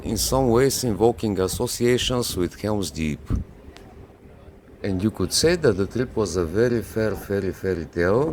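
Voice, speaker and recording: A man's voice: on the low side, background noise. A man narrating a scripted travelogue in formal wording.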